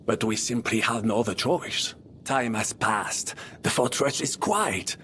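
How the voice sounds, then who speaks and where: An actor playing an older, boastful French knight in a video game, with a French accent and a theatrical, pompous manner that peppers speech with French. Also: male voice, on the low side, lively intonation, a little slow, fairly bright tone, a little hiss or hum. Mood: measured, wry. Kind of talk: video game dramatic acting.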